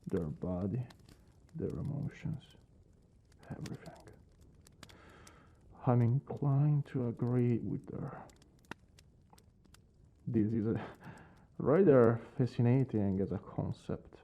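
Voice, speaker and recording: A man acting a brooding vampire lord, aloof yet secretly caring, in a soft, breathy ASMR roleplay that often drops toward a whisper. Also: medium pitch, slow, long pauses, dull audio, a little hiss or hum. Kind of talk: ASMR roleplay, intimate dramatic monologue.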